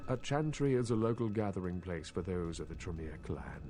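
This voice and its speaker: An actor playing a courteous, stern elder vampire regent in a video game, speaking in a formal, measured, condescending manner. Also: male voice, low-pitched, animated, dark tone, faint music behind. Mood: instructive, stern. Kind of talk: scripted video game voice acting.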